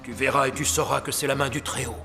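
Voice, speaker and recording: A man playing a firm Hebrew prophet giving declamatory warnings in an animated Bible story. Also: medium pitch, quick pace, gravelly, natural voice. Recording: reverberant hall, music behind.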